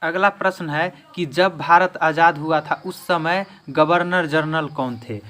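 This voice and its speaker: A man's voice: a little high, measured pace, sharp tone. A man teaching an exam-prep lesson in question-and-answer form, emphatically repeating each answer.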